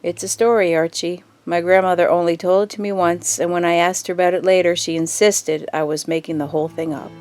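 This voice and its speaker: A woman playing a grave mother who tells an old family story in a measured, wistful way in an audio drama. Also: fairly low pitch, flat delivery, a little mellow, faint music behind, close to an everyday voice. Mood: grave, uneasy.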